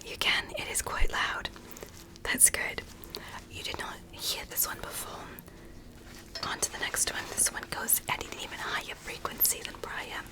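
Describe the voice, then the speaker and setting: A woman whispering softly and breathily in a gentle, reassuring ASMR roleplay as a doctor giving an exam. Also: moderate pace, poor audio. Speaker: ASMR performer playing an ear doctor.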